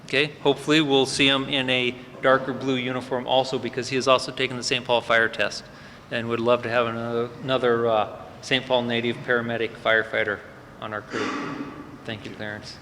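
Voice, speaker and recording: A man's voice: medium pitch, little pitch movement, brisk, sharp tone. A man introducing graduates at a fire department ceremony, giving off-the-cuff remarks with frequent fillers. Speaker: fire department EMS supervisor presenting cadets. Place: large indoor hall at a ceremony. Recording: echoey hall with faint ambient noise.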